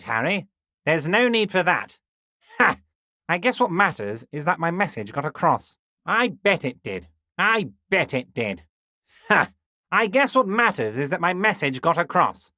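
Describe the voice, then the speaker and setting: A man's voice: sits high, bright, forceful tone, no echo, studio quality, exaggerated voice. A male actor playing a smug, wily, older union boss in a video game, chummy, sly and full of mock innocence. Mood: smug, reassuring. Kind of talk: video game dialogue acting.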